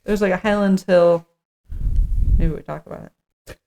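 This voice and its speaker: A woman's voice: lowish voice, steady tone, moderate pace, warm tone, low-quality recording. A woman co-hosting a podcast in an informal chat, partly reading from notes and thinking aloud. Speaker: podcast co-host.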